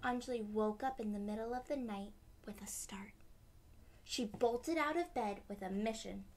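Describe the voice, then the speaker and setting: High, creaky young woman reading to children. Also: normal speed. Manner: expressive, child-directed. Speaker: children's read-aloud host. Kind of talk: storybook read-aloud with asides to children. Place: small decorated room indoors.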